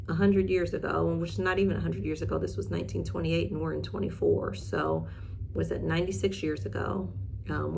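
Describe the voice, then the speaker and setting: A woman's voice: on the low side, vocal fry, darkish tone, slight background noise. A woman hosting in a casual, chatty way, mixing reading aloud with informal, self-deprecating asides. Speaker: host reading old newspaper articles.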